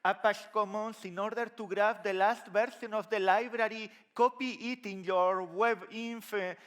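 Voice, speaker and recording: Spanish-accented middle-aged man speaking to a conference crowd, fairly high voice, lively and conversational, headset mic on a big stage. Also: moderate pace, clear, resonant tone. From Spain. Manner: lively, conversational, gesturing while walking the stage. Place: large dark conference hall stage.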